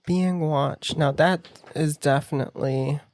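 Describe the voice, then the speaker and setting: A man's voice: on the high side, fairly even intonation, measured pace, a little mellow, no echo, clean studio sound. A man with a Puerto Rican accent, a podcast co-host in casual chat who jokes and talks bluntly. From Puerto Rico. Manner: joking, playful and blunt.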